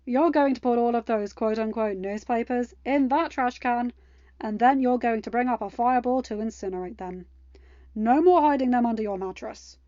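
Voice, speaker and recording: A woman voicing a bossy but caring young woman in a story, with a brisk, teasing delivery, on a slightly dull recording. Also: fairly high pitch, dark tone, no echo, close to an everyday voice. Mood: firm, caring.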